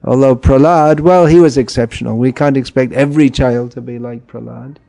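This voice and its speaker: A middle-aged man giving an informal spiritual talk in a gentle way, chuckling and putting questions to his listeners. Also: mid-range voice, fairly even intonation, fairly quick, creaky. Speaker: spiritual teacher.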